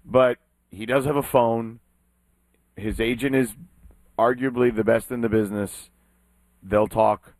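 A man co-hosting a sports radio show talks at a middle pitch, stumbling over his first words before he settles into his argument. The sound is dry and close. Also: fairly even intonation, measured pace, long pauses, a little mellow, no room sound, poor audio. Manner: hesitant, stammering restarts before making his point. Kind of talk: morning sports radio debate.

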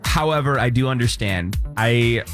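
A man's voice: mid-range voice, expressive, music in the background. A male radio host from outside Chicago, chatting and teasing his co-host in easygoing on-air banter. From outside Chicago, USA. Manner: chatty, emphatic, quick to tease.

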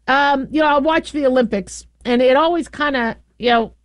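A woman's voice: high-pitched, moderate pace, no room sound. A woman hosting a call-in radio talk show, talking in a loose, rambling way with many restarts and fillers.

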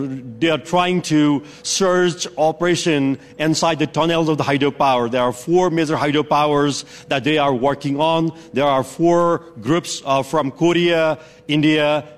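Earnest man reporting live. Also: fairly high pitch. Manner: earnest, careful account with frequent restarts.

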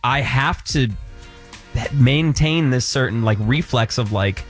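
A man's voice: medium pitch, slow pace, soft background music. A man in his thirties with a commanding voice, chatting candidly as a radio host, filling his talk with "like". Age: 30s. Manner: candid, reflective, many "like"s. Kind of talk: casual radio chat.

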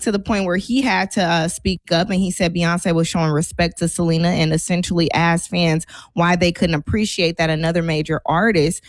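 An American woman co-hosting a talk show, bantering casually in an opinionated, animated way with informal slangy phrasing. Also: on the low side, no echo.

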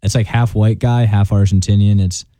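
A man's voice: fairly low pitch, steady tone, normal speed, dark tone, dry recording. A young man in his twenties, a college student and guest, chatting casually and rambling on with frequent laughs. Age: 20s. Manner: easygoing, rambling, laughs often, keeps saying "I'll tell you what". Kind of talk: casual on-air chat.

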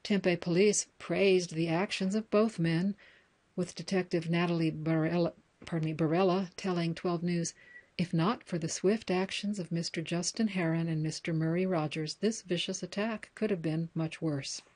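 An American woman reading news articles and book excerpts aloud as a reader, in a measured, plain announcer-like way. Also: lowish voice, steady tone, darkish tone.

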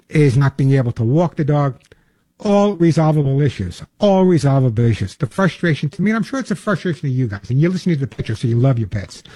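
Emphatic older radio pet-show host. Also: male voice, on the high side, mellow, dry recording. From Long Island, New York. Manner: emphatic, repeats points, folksy. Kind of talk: radio monologue.

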